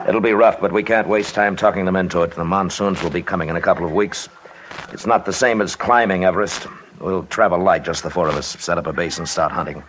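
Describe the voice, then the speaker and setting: A low, deep male voice on a noisy vintage radio recording. a decisive expedition leader in an adventure drama, speaking in a measured, matter-of-fact, commanding way. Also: fairly even intonation, a bit bright. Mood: brisk, decisive. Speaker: narrator and lead actor. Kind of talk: old-time radio adventure drama acting and narration.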